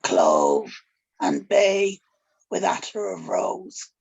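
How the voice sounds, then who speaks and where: A woman's voice: fairly low pitch, wildly swooping pitch, very slow, creaky, darkish tone, husky, dull audio, heavily acted. A woman playing a shrewd, theatrical witch who tells fortunes, in a scripted drama table read. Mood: mystical, commanding.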